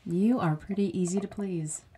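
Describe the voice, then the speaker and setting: Woman playing a wry, low-pitched captive, noisy background. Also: normal speed, dark tone, natural voice. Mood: wry, curious. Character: bitter, wry woman kept alive as a severed head. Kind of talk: audio drama acting.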